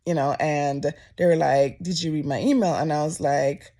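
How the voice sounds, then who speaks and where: A Jamaican woman chats straight to camera and tells a story with animated energy. Her voice has a gravelly fry at the bottom and leaps higher whenever she quotes people. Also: moderate pace. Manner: animated storyteller, voice jumping up when quoting people. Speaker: vlogger. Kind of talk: casual storytime chat to camera. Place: office.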